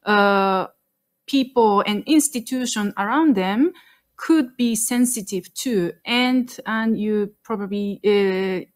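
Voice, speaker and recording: A woman moderating a webinar panel, measured as she sums up points and passes questions on. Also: medium pitch. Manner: measured, summarizing and handing over questions.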